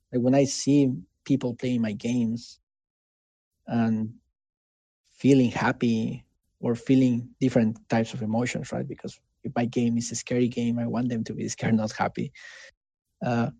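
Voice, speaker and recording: A man with a Mexican accent and Spanish as his first language, answering casually in an interview in an earnest, halting way, often checking for agreement. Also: medium pitch, warm tone, no room sound. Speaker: interviewee, game developer.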